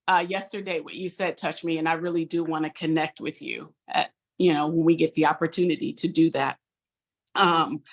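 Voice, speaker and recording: A woman gives a personal testimony over a video call, speaking earnestly and pausing often with fillers. Her voice sits on the lower side. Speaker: guest.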